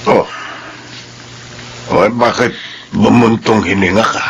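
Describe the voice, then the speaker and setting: An older man playing a gentle, consoling elderly father in a radio drama, with a gravelly, husky voice. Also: lowish voice, steady tone, takes it slowly, a little hiss or hum. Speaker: father of the heroine.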